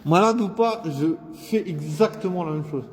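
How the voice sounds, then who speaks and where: A man's voice: fairly high pitch, normal speed, darkish tone. A young man rambling in casual slang about his day, cold and coming down with a bug, recorded outdoors. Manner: slangy, rambling, self-mocking. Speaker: vlogger. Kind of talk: rambling outdoor vlog monologue.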